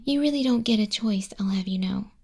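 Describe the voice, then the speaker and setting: A smug demon clerk from hell's front desk, played by a woman, speaks in a hushed ASMR murmur that often drops to a whisper. The voice is husky and mellow with a creaky edge. She is condescending and teasing, with the dry patience of a bureaucrat. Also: mid-range voice, a little fast. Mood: dryly stern. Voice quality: soft, breathy, husky. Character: smug female demon clerk registering sinners in hell. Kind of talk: ASMR roleplay, soft-spoken and whispered.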